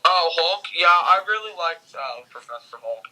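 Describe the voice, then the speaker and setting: A man's voice: fairly high pitch, animated, normal speed, pushed and bright, poor audio. A man speaking as a guest reviewer in a casual conversation about films. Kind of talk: casual chat, film review.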